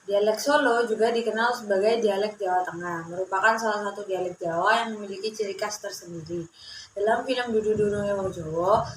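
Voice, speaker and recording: A young woman, a university student, reading her class presentation aloud at a steady pace and often restarting words she trips over. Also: medium pitch. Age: young adult. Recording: echoey room with faint background noise.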